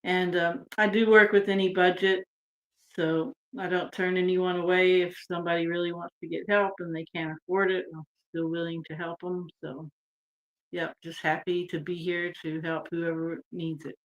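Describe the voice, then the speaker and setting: A woman from Texas, talking as a guest on a video-call podcast in a relaxed, rambling way. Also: on the low side, normal speed, highs cut off. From United States (Texas). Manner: relaxed and rambling, trailing off with fillers. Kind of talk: casual interview chat.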